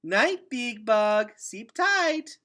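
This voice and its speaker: A man's voice: very high voice, sing-song, very slow, pushed and bright, voice pushed up, exaggerated voice. A man voicing an affectionate, playful toddler girl in singsong baby talk for a children's storybook. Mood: happy, affectionate. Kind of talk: children's novel read-aloud.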